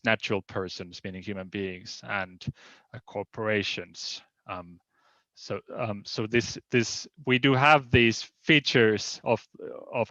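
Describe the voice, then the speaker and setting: Male academic presenter, lowish voice, halting explanatory delivery, slightly dull audio. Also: a bit bright, no room sound. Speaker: legal scholar presenting at a roundtable.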